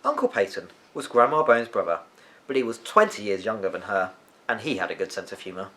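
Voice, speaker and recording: A man narrating a children's story as an expressive reader. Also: lowish voice, brisk, poor audio.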